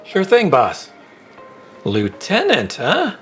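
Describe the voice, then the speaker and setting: An actor playing a friendly, easygoing corporate receptionist in a naturalistic audio drama. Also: male voice, high voice, wildly swooping pitch, takes it slowly, a bit bright, soft background music, exaggerated voice. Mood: sincere, encouraging.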